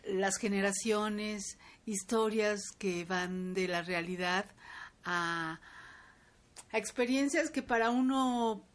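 A woman's voice: mid-range voice, takes it slowly. Enthusiastic woman interviewer. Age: around 30. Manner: warm, enthusiastic, admiring.

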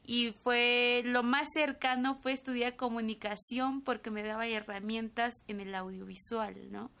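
A woman's voice: a little high, fairly even intonation, measured pace, vocal fry, sharp tone, clear, resonant tone. A woman in her twenties, a native Tzotzil speaker from Chiapas, answering interview questions thoughtfully with frequent fillers and tag questions. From Chiapas, Mexico. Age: 20s. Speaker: filmmaker guest.

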